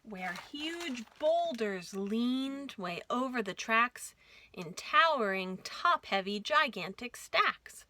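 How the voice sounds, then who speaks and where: A woman hosting a children's storytime, reading aloud with an expressive, deliberate delivery for young listeners. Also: a little high. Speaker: children's librarian and storytime host.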